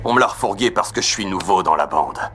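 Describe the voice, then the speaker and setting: An actor playing a hulking, sadistic villain in a French anime dub, mocking and falsely friendly with a deep, forceful voice. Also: male voice, lively intonation, quick pace, music in the background. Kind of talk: French-dubbed anime acting.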